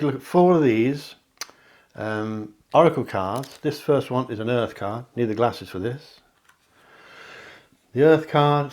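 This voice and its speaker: A middle-aged man, a psychic reader, chatting through a card reading with reassuring asides and a slightly hoarse voice. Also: medium pitch, darkish tone. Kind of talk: tarot card reading to camera.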